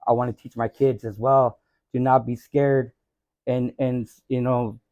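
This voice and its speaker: A man's voice: medium pitch, level tone, a little slow, darkish tone, dry recording, lo-fi sound. A man on a podcast, speaking earnestly and often saying "you know what I mean". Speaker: podcast guest. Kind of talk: casual chat.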